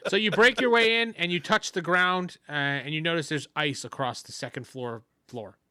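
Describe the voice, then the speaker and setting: A man's voice: fairly high pitch, moderate pace, pushed and bright, a little hiss or hum. A man running a tabletop role-playing game, narrating and bantering casually. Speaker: game master.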